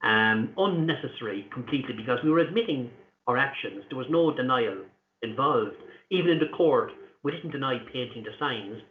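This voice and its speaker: Irish guy, earnest and deliberate, lots of ums, tinny phone sound, echoey room. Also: mid-range voice, animated. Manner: earnest, deliberate, pausing with fillers. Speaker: interview guest, activist. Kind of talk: conversational interview answers. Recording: tinny echoey call line.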